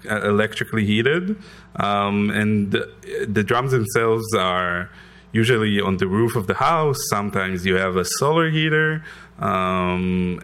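A man's voice: lowish voice, a bit bright, clear, resonant tone. A man from Israel with an Israeli accent, answering audience questions after a conference talk, hesitant with many ums and restarts. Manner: hesitant, many ums, restarts, light self-deprecating laughs. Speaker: conference speaker.

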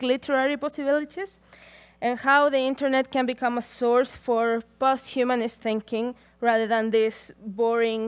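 A young woman speaks from a conference stage, her voice sitting a little higher than most. She talks in a measured, deliberate way, moving steadily through prepared remarks. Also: smooth. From Chile.

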